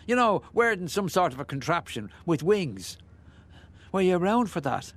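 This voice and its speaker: Rambling older Irish man, higher-pitched. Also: big pitch swings, normal speed, exaggerated voice. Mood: wistful, amazed. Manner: garrulous, rambling, musing, with hesitations. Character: chatty older married Irish ex-bricklayer, nosy, rambling, full of wonder. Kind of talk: radio play acting.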